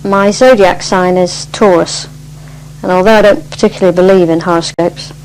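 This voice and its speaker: A woman around her sixties with an English accent, introducing herself in a plain, measured manner. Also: lowish voice, fairly even intonation, a little mellow, poor audio. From Cambridge, England. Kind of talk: self-introduction. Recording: faint background noise and hum.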